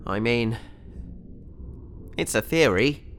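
A man's voice: medium pitch, lively intonation, slow, a bit bright, faint music behind. An actor playing a naive, cheerful young man trapped in an alien's fish tank, rambling and talking his way around trouble in a sci-fi audio drama. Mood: breezy. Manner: naive, breezy, rambling and self-justifying.

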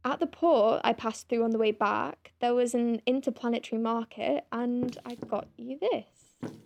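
A woman's voice: a little high, steady tone, a little slow, vocal fry, a little mellow, highs cut off, a little hiss or hum. A woman playing a proud senior space-clinic doctor in an audio drama, formal, clipped and literal-minded, stiff but kind. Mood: awkwardly kind.

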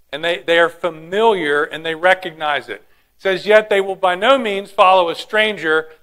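A high-pitched man preaches with a bright, forceful tone. He tells stories and asks the congregation questions as he teaches. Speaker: pastor. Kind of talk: sermon.

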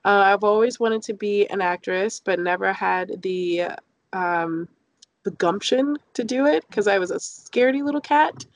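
A woman in her thirties from London, telling personal stories casually and jokingly as an interview guest, with animated emphasis. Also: medium pitch, unhurried, dry recording.

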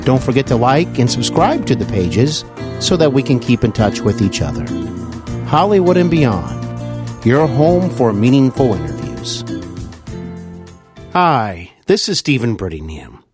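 A man's voice: medium pitch, sing-song, a little slow, music in the background. A man hosting an interview podcast, introducing his show. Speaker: podcast host.

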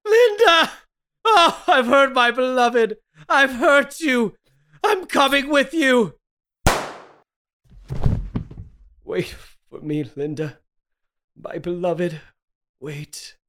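A woman's voice: high-pitched, big pitch swings, takes it slowly, fairly bright tone, heavily acted. A woman playing a tormented, desperate spouse in an overwrought amateur reading of a radio horror melodrama. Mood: grieving. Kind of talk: amateur table read of 1930s radio horror.

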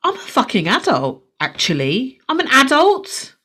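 A woman's voice: sits high, wildly swooping pitch, unhurried, a bit bright. A woman in her forties co-hosting a podcast, chatting casually, laughing often and swearing freely. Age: 40s. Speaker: podcast co-host. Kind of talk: casual chat.

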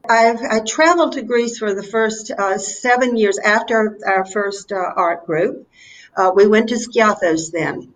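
A woman in her seventies from North Carolina with a Southern US accent, chatting as an interview guest in a reflective, halting, anecdotal way. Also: medium pitch, a little hiss or hum, poor audio. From North Carolina, USA. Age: 70s. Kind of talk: casual interview chat.

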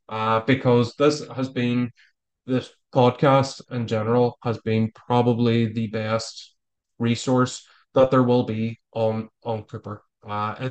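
Male podcast guest, Irish accent. Casual, emphatic, opinionated, colloquial. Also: mid-range voice. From Ireland. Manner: emphatic, colloquial, mildly sweary. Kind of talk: casual, opinionated interview talk.

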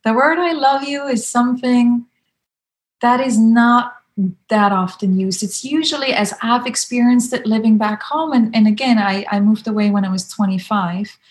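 Swiss-accented woman explaining calmly. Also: fairly high pitch, normal speed. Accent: Swiss German. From Switzerland. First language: Swiss German. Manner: thoughtful, searching for words. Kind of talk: conversational explanation.

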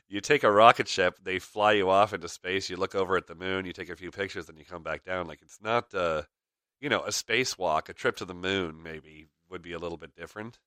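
Deep, bright-toned man, slightly raspy. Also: a little fast, dry recording, studio quality. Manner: rambling, frequent fillers, chuckles. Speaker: podcast host. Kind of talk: casual rambling chat.

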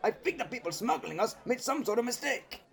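A man voicing a loud, childish, overprotective brother in an audio drama. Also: high-pitched, brisk, gravelly, a faint crowd, full character voice. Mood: boisterous. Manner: excitable, shouty, childish. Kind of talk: fan audio drama acting.

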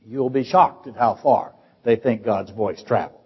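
Older preacher guy, kinda husky voice, keeps asking the room questions, echoey dull church recording. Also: medium pitch. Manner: teaching through rapid rhetorical questions. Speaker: pastor. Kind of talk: sermon. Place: church sanctuary.

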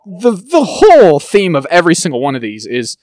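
A man talking quickly with a lively, swinging pitch, like a co-host who's deep in a casual chat. The sound is dry and close, with almost no room around it. He rushes through his thoughts and drops in quick mocking voices as he goes. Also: dry recording. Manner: fast, animated, breaks into quick mock impressions. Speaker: podcast co-host. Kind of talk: casual chat about TV shows.

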